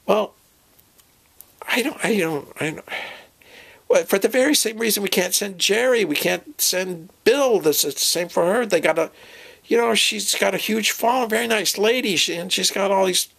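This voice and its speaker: Male comic sketch performer as himself: higher-pitched, gravelly, folksy and rambling, in informal improvised dialogue. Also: normal speed, fairly bright tone.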